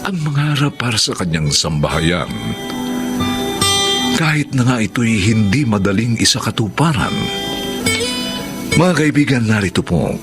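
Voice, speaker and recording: Loud plucked guitar plays under a Filipino man introducing a radio drama. His low voice is solemn and dramatic, unfolding very slowly and deliberately. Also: very animated. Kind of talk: dramatic classic radio narration. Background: loud plucked guitar music.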